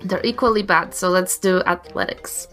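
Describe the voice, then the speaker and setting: A woman's voice: fairly low pitch, normal speed, fairly bright tone. A woman chatting casually as a tabletop game player, hesitantly piecing her thoughts together. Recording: faint music behind the voice.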